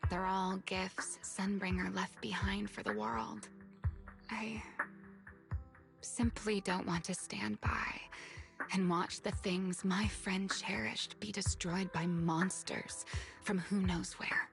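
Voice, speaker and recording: An actress playing a calm, aloof and powerful woman in a video game, speaking in a soft, breathy, solemn way. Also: mid-range voice, faint music behind. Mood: solemn, resolute. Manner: slow, measured, solemn. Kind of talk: scripted video game dialogue.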